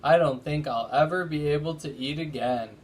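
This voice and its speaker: A man's voice: fairly high pitch, moderate pace, close to an everyday voice. A man voicing an eager, sometimes sleepy-headed young boy in a children's storybook mystery. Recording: noticeable background noise.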